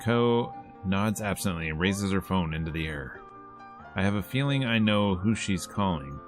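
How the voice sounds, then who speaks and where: A man's voice: deep voice, lively intonation, moderate pace, music in the background. A man streaming a visual novel, reading the narration aloud and adding casual commentary.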